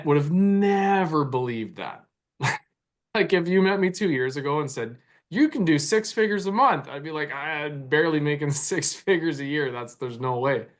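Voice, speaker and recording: A man's voice: a little high, animated, measured pace. A man from Canada in his forties, a mindset coach speaking as a podcast guest in a reflective way, often repeating words as he thinks. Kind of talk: conversational podcast interview.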